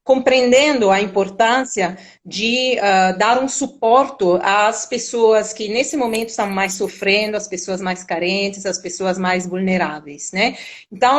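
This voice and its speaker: A woman from Italy with an Italian accent, a union vice-president and professor, speaking formally with frequent hesitations in a live video call. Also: mid-range voice. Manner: hesitant, many filler sounds, formal wording. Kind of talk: live-stream conversation.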